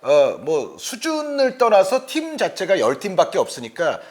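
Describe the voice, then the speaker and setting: Guy hosting a soccer talk show, high voice that swings around a lot, wordy detailed explanations. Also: wildly swooping pitch, unhurried, darkish tone. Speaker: host of a football talk show. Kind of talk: sports talk, explaining to a co-commentator and viewers.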